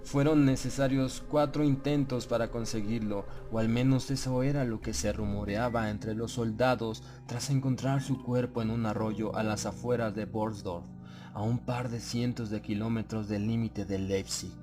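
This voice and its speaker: Low-pitched male horror audiobook narrator over music. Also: fairly even intonation. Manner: solemn, measured, suspenseful storytelling. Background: prominent background music.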